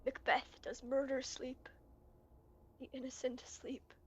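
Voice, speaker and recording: A young woman playing a guilt-stricken, fearful lord who has turned murderer, in slow, dramatic amateur Shakespearean verse. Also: high voice, flat delivery, slightly rough, lo-fi sound, heavily acted. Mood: haunted, horrified. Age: young adult. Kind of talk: amateur student Shakespeare performance, recorded remotely.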